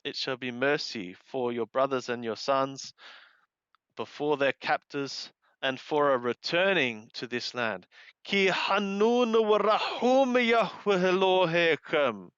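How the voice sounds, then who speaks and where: A man reading scripture aloud and translating it literally, in a deliberate, solemn manner, pausing after each short phrase. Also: fairly high pitch, lively intonation, fairly bright tone, clean tone, no room sound, dull audio.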